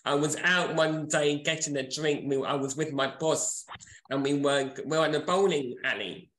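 A man's voice: fairly high pitch, moderate pace, fairly bright tone. A man, chatting enthusiastically as a podcast co-host. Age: 40s. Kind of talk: casual podcast chat. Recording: roomy echo.

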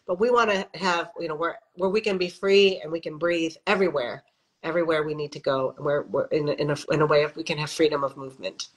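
A middle-aged woman, a faith leader from the Midwest, speaks as a guest over a video call from a home office. Her voice sits slightly low, and she talks in a reflective, conversational way, full of "you know" fillers as she thinks aloud. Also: steady tone, quick pace, rough recording. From American Midwest. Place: home office with windows, indoors. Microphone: video call webcam.

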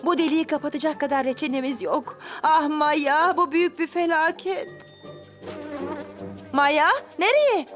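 A woman's voice: sits high, a little slow. A woman voicing a strict but fretful female bee schoolteacher in a dubbed children's cartoon, earnest and anxious. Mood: worried. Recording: clear music behind the voice.

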